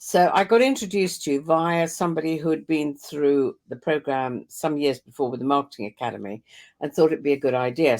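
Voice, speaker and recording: An older woman hosting a webinar conversation, telling stories and laughing easily as she talks. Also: a bass voice. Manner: warm storytelling with frequent laughs. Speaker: host.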